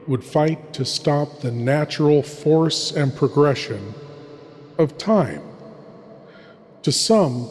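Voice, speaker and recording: A man hosting a horror show with theatrical scripted narration, ominous and intimate, full of dramatic pauses. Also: medium pitch, a little mellow. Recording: long cavernous reverb on voice.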